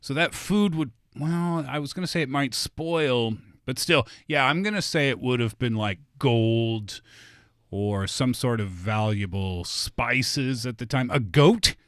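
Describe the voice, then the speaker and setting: A man's voice: medium pitch, unhurried, a bit bright, dry recording, clean studio sound. A man co-hosting a podcast, thinking aloud and bantering casually during a quiz. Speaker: podcast co-host and quiz contestant.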